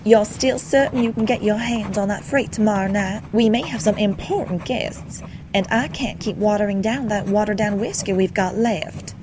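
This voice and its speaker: A woman's voice: medium pitch, normal speed, noisy background, natural voice. A woman voicing a genteel, whimsical cartoon speakeasy owner in a coy, theatrical manner. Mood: genial, businesslike. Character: genteel, whimsical female cat speakeasy owner, languidly charming.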